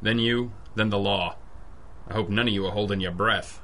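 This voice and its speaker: A man's voice: fairly low pitch, fairly even intonation, normal speed, a bit bright. A man playing a hard-boiled, wisecracking private eye in an old-school radio detective drama, sardonic and clipped. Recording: music under the voice.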